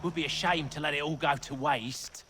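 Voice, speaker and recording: A man's voice: fairly high pitch, fairly even intonation, moderate pace, very noisy, natural voice. A man playing a crude, cocky rogue. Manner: cocky, slangy, ungrammatical. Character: crude, greedy, wisecracking male rogue. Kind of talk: video game cutscene acting. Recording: game soundtrack with music behind.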